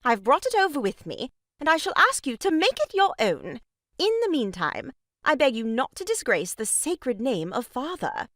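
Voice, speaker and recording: A woman's voice: high voice, big pitch swings, moderate pace, fairly bright tone, dry recording, clean studio sound. A woman playing an arch, mock-pious young sister-in-law in a comic stage farce, scolding in a grand theatrical way. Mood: mock-solemn reproach.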